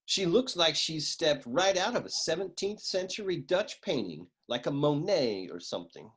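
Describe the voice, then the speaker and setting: A man playing a flippant, self-important private eye with a deadpan manner in a comic detective radio play. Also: a little high, moderate pace, husky, exaggerated voice. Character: flippant, dim-witted noir male private eye.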